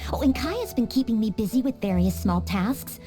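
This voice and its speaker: A high, lively voice for an earnest young maid set on becoming a knight, voiced by a woman. She speaks politely and eagerly, now and then stumbling on her words out of shyness. Soft game music plays quietly behind her. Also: normal speed, close to an everyday voice. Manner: polite, earnest, hesitant with small stammers. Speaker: game character. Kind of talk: game cutscene voice acting.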